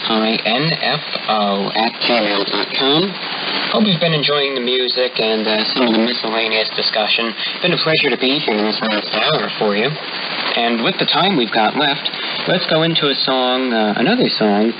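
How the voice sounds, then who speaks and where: Male shortwave radio host, medium-pitched, polite formal announcer phrasing for a request show; dull band-limited signal with clear static. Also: moderate pace. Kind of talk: music request show announcing, listener shout-outs. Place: broadcast heard over shortwave, captured on SDR receiver. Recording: muffled, noisy shortwave radio reception with static.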